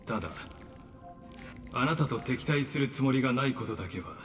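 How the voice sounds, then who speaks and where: A man voicing a calm, polite and calculating male villain in a game dub. He speaks in a formal, measured way. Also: medium pitch, lightly acted. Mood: courteous, cold. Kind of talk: Japanese game dub acting. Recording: game audio with reverb and faint music.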